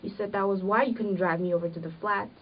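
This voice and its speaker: A woman's voice: medium pitch, steady tone, fast talker, natural voice. Woman acting a bitter farm wife, muffled, distant. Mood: reproachful. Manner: reproachful, rural old-fashioned phrasing. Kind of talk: amateur period drama acting. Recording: muffled audio, distant microphone.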